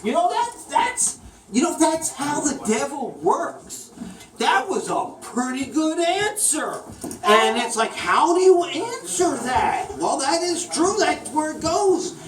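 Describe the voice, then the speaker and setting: A woman's voice: sits high, sing-song, slow, a crowd in the background. A woman teacher quizzing children, asking questions, repeating them and echoing back the answers in a prompting way. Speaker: children's Sunday school teacher quizzing a class. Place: large echoey indoor room with children. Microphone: distant camera or room mic. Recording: echoey room, distant mic, noisy.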